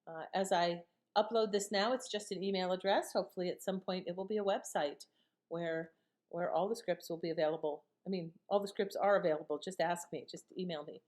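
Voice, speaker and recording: A woman's voice: fairly low pitch, fairly even intonation, fairly quick, darkish tone. A woman giving casual commentary as a channel host.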